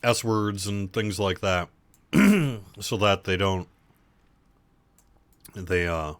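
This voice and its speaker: Low hoarse man with a sore throat. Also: lively intonation, no echo. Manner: halting, many fillers and restarts, goes quiet. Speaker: livestream host for an online game. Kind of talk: casual live-stream chat.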